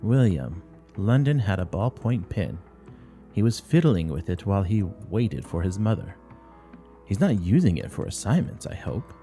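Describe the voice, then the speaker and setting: A man's voice: fairly low pitch, sing-song, normal speed, warm tone, soft background music. A man voicing a strict older nun who runs a school, speaking curtly and moralizing in a play reading. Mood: brisk, watchful. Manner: curt, severe, moralizing.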